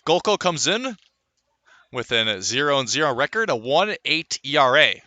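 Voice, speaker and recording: A man calling live baseball play-by-play as a radio announcer, filling the gaps between pitches with measured runs of stats. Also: sing-song, pushed and bright, dry recording. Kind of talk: live sports play-by-play commentary.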